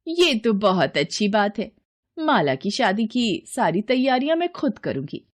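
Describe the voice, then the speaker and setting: A scheming, spiteful cartoon queen, acted by a woman. Her delivery is theatrical, at a middling pitch, full of a jealous stepmother's cunning. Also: very animated, measured pace.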